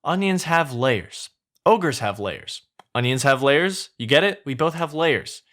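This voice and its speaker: A man's voice: a little high, expressive, unhurried, a bit bright. A man voicing a blunt, grumpy, sarcastic ogre in a fairy-tale story, speaking emphatically. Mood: explaining, exasperated. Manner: exasperated, emphatic.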